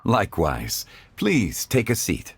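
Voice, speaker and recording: A man playing a refined, composed gentleman in an anime game, speaking formally and politely with measured courtesy. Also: on the low side, huge pitch swings, slightly rough, exaggerated voice. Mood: courteous.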